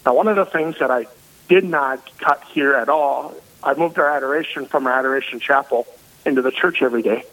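A man, a parish priest, speaking loudly and energetically as a guest on a radio interview. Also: mid-range voice, a little fast, rough recording. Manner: loud, energetic and emphatic.

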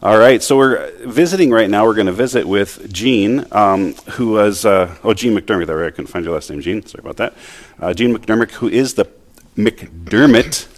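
Low-voiced American male radio host. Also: expressive, moderate pace. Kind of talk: casual interview chat.

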